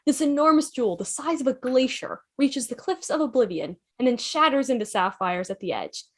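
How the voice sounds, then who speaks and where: A woman's voice: high voice, lively intonation, a little fast. A woman narrating the stage directions of a script in a fan table read.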